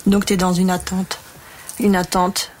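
A woman's voice: lowish voice, completely flat delivery, unhurried, darkish tone, a little hiss or hum. Hesitant young woman in casual chat. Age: 20s. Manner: hesitant, many fillers and "quoi". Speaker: trainee shepherdess. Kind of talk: casual conversation.

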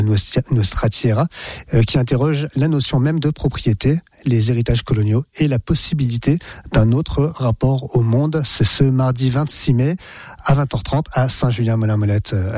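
A man hosting local radio, reading out upcoming cultural events in a relaxed way with frequent hesitations. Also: medium pitch, normal speed, dry recording.

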